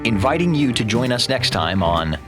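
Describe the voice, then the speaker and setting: A man's voice: medium pitch, very animated, moderate pace. A man working as an announcer, reading a scripted outro for a radio drama show. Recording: voice over loud music.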